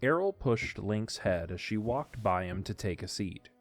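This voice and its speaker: Male narrator for fantasy audio drama: fairly low voice, dry close sound, scripted storytelling, faint music underneath. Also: animated, unhurried, no room sound.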